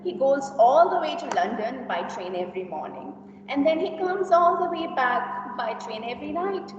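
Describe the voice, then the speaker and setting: A woman's voice: high-pitched, normal speed. A woman playing a tactless, gossipy and judgmental young woman in an amateur classroom play. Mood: gossipy, scornful. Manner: exaggerated, animated, giggly reactions. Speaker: teacher acting in a classroom reader's theater. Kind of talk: amateur reader's theater performance. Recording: dull, echoey hall from far off, hum.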